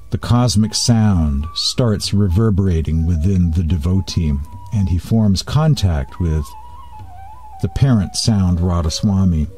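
A man with a fairly low-pitched, soft-edged and relaxed voice presents a spiritual program. He reads and explains devotional texts deliberately, pausing between phrases. Faint music runs underneath his voice. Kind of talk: reading and commenting on spiritual texts.

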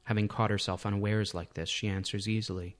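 A man's voice: on the low side, flat delivery, fast talker. A man reading his own short story as scripted literary narration.

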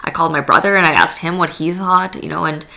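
A young woman from Poland speaks as a podcast guest. Her voice sits very low, with a gravelly, bright edge. She talks in a loose, chatty way, full of fillers and restarts. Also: fairly even intonation, quick pace. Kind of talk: casual interview chat.

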